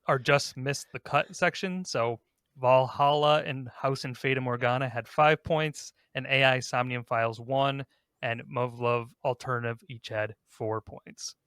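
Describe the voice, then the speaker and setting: A man's voice: medium pitch, fairly even intonation, a little slow, a bit bright, smooth, no room sound. Casual male podcast host, dry close sound. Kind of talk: casual countdown discussion.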